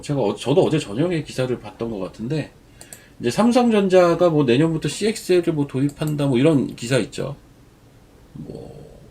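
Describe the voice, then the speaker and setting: A man's voice: fairly high pitch, darkish tone, husky. A man with a cold giving chatty, rambling stock market commentary as the show's regular commentator. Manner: chatty, digresses into personal stories. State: has a cold. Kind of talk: casual market commentary on a live stream.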